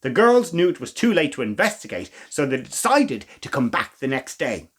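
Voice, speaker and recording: A man reading a children's story as a storytime host, with expressive, theatrical emphasis and asides to the listeners. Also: medium pitch, moderate pace. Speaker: storytime reader.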